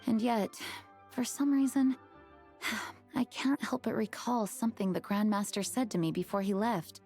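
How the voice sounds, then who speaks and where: A woman's voice: fairly high pitch, fairly even intonation, darkish tone, soft background music, lightly acted. Woman as a composed, formal knight leader. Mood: pensive. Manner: formal, measured. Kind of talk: video game character acting.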